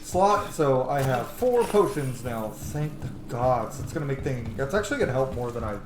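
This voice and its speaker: A man streams a video game with loose, rambling, sweary commentary. His mid-pitched voice rings in an echoey room, with clear noise behind him. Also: sing-song, normal speed. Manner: rambling, sweary, self-joking. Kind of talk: casual let's play commentary.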